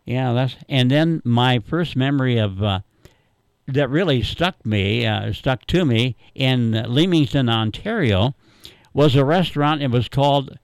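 A man hosts a radio call-in show, chatting and reminiscing in a halting, rambling, easygoing way. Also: mid-range voice, animated, clean tone, no room sound. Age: 80s. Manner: rambling, halting, chuckling storyteller. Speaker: radio talk host taking callers. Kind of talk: casual, unscripted reminiscing and chat.

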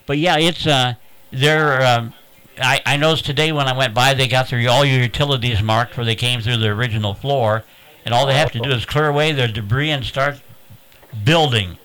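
A man hosts a radio call-in show, chatting and reminiscing in a halting, rambling, easygoing way. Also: medium pitch, sharp tone, smooth, poor audio. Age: 80s. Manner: rambling, halting, chuckling storyteller. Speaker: radio talk host taking callers. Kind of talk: casual, unscripted reminiscing and chat.

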